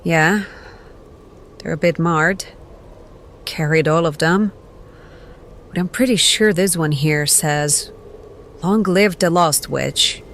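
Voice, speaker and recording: A woman playing a scholarly adventurer in an audio drama, measured and analytical in manner. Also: low voice, a bit bright, slight background noise, full character voice.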